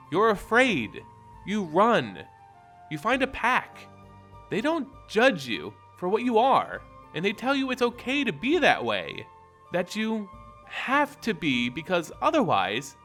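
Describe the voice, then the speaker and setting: Male audiobook narrator, lively intonation, measured and dramatic, music bed underneath. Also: high voice. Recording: music behind the voice.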